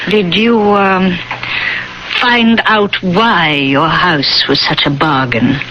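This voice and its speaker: An actress in an old-time radio drama playing a secretive older mother who warns in a portentous, drawn-out manner. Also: fairly low pitch, bright, forceful tone. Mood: ominous, cautioning. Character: ominous, secretive older mother warning a young neighbor. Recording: muffled, noisy old radio broadcast.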